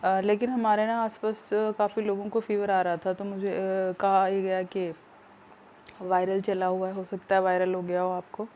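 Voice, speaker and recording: A woman from India, unwell with a sore throat, speaks in a flat, even tone with little rise and fall. She talks slowly and haltingly, pausing often and filling the gaps, as she updates her viewers from home. There is a faint room hum behind her. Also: medium pitch. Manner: hesitant, subdued, with many pauses and fillers. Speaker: vlogger. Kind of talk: casual vlog update to viewers.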